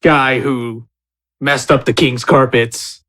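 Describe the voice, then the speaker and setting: A man's voice: medium pitch, steady tone, slow pace, sharp tone. A man playing a tabletop roleplaying game, talking casually with the others at the table.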